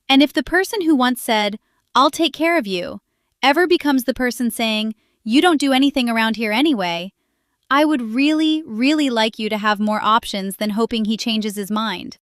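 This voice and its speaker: A woman's voice: a little high, a bit bright, no room sound, clean studio sound. A woman giving direct-to-camera social commentary in an emphatic, sassy way, firing off rhetorical questions and building lists. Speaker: commentary host.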